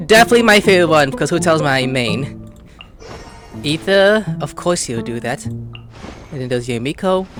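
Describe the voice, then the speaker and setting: An excitable gaming YouTuber giving casual, slangy commentary, jumping between muttered asides and squeals while playfully talking to game characters. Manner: exclamatory, swings from muttered asides to high squeals.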